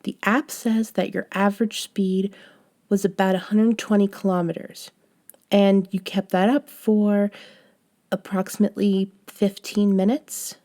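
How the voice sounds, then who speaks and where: Roleplay audio: young female friend played by a woman, patient but a little bossy, soft-spoken, warm dark tone, slow delivery. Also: medium pitch, level tone, close to an everyday voice. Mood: patient, teasing. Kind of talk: scripted roleplay audio, one-sided conversation.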